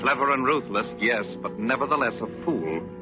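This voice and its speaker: An actor playing a sardonic crippled husband with political ambitions, speaking with formal, mocking politeness in 1940s radio drama style. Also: male voice, medium pitch, animated, moderate pace, music in the background, lightly acted. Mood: bitter, taunting. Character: bitter, sardonic crippled husband running for governor. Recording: dull vintage radio recording, faint hiss.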